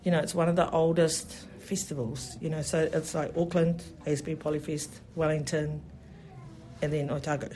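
New festival organiser, mid-pitched and enthusiastic, a rambling interview guest. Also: level tone, moderate pace, dark tone, a little hiss or hum, rough recording. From New Zealand. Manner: enthusiastic, rambling, many "you know" fillers. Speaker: festival event specialist. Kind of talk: radio interview.

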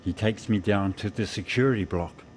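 An actor playing a man who relives his frightened boyhood, narrating a radio drama in measured, spare phrases. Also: lowish voice, a little mellow, slight background noise, close to an everyday voice. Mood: tense dread.

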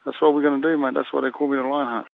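A Samoan-born boxer being interviewed, talking haltingly and filling pauses with "you know". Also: male voice, mid-range voice, flat delivery, vocal fry, no room sound. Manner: halting, leans heavily on "you know" fillers. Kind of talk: phone interview. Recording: tinny phone line.

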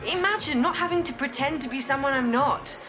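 A British woman in her twenties acting as a betrayed bride, with a high voice. She speaks bluntly and candidly in naturalistic radio drama style, with music clearly underneath. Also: brisk, lightly acted. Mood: wry, confessional. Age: 20s. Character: betrayed young bride, long anxious, now bluntly candid.

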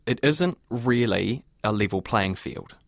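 A man's voice: fairly low pitch, lively intonation, unhurried, creaky, dry recording, studio quality. A man with a New Zealand accent, working as a reporter, narrating and interviewing in a conversational news style. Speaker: reporter and presenter.

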